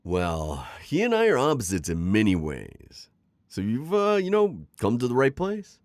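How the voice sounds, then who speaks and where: A man's voice: medium pitch, huge pitch swings, a little mellow, no room sound. A man playing a suave, manipulative magical villain mentor in an intimate ASMR roleplay, coaxing and teasing as he talks. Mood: probing, knowing. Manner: coaxing, smooth-talking, chuckling, drawn-out teasing phrasing. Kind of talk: ASMR roleplay acting, intimate one-sided dialogue.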